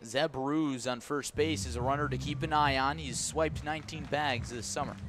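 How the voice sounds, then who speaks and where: A man's voice: mid-range voice, fairly even intonation, moderate pace. A man giving live radio play-by-play of baseball, in clipped descriptive phrases that rise with the action. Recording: noisy outdoor ballpark ambience.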